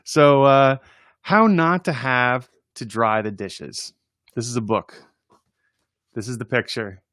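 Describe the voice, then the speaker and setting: A man's voice: mid-range voice, takes it slowly, a bit bright, no room sound, clean studio sound. A man reading humorous children's poems aloud in an informal, chatty, amused way, as a story-time presenter. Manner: informal, chuckling, chatty asides between readings.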